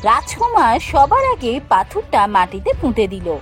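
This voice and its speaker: A woman's voice: on the high side, wildly swooping pitch, normal speed. A woman narrating a scripted fairy-tale cartoon. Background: music.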